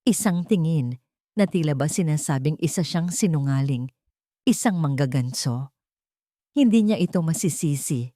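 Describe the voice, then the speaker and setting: A woman's voice: sits low, lively intonation, normal speed, long pauses, mellow, dry recording, studio quality. A woman with a Filipino accent reading a scripted audio drama as a dramatic storyteller.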